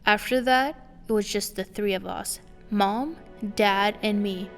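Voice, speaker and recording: A woman in her twenties, born in Romania and a native Romanian speaker, calmly reading her own story as scripted narration. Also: medium pitch, a little slow. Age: 20s. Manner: calm, reflective, read aloud line by line. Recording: long reverb with faint music behind.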